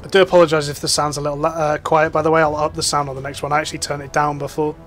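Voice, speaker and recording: A man's voice: a little high, flat delivery, racing, fairly bright tone, faint music behind. A man giving casual, rambling gameplay commentary as a channel host. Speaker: gaming youtuber.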